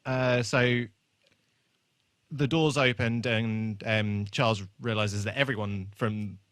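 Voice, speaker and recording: A man's voice: mid-range voice, steady tone, slow pace, a bit bright, clean tone, dry recording, clean studio sound. A man co-hosting a podcast in casual chat, talking in a jokey, rambling way. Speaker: podcast co-host.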